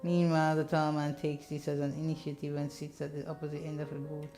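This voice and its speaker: A woman with a low voice narrates a visual novel for a playthrough. She moves between plain story reading and playful, slangy remarks, with music playing underneath. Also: completely flat delivery, fast talker, dark tone. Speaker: let's player reading a visual novel aloud. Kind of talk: casual narration and commentary on a game.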